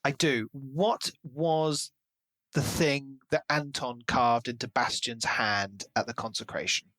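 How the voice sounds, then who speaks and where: A man co-hosting a casual book discussion, speculating in an expressive, wordy way. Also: mid-range voice, takes it slowly, no echo. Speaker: podcast co-host discussing a novel. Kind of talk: casual book-club chat.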